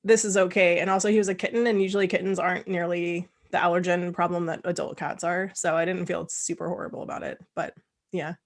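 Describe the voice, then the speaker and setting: A woman hosting a casual interview, talking in a chatty way with quick asides. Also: fairly low pitch, level tone. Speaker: host. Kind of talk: casual video-call chat.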